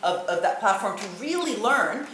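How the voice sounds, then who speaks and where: A middle-aged woman from Italy speaking on a panel as an institute head, emphatic and animated, with laughing asides. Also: medium pitch, normal speed. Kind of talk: panel discussion. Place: packed lecture hall with audience. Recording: echoey room with faint noise.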